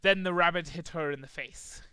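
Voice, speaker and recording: A man's voice: fairly high pitch, normal speed, pushed and bright, clean tone, no echo. A man reading a story aloud as a dramatic amateur narrator, sometimes stumbling over the words. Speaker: amateur fan fiction reader.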